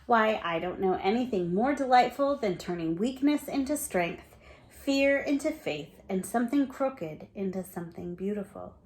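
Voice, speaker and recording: A woman voicing a kind, cheerful male shepherd in a storybook, speaking in a reassuring, gentle way. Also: mid-range voice, expressive, moderate pace, close to an everyday voice.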